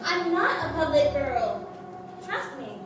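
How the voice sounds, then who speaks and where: A woman's voice: sits high, sing-song, slow, noisy background, full character voice. A woman acting an earnest, searching young human circus star in a stage play, eager to belong. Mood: defensive, yearning. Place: theater stage. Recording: very echoey theater, distant mic, music behind.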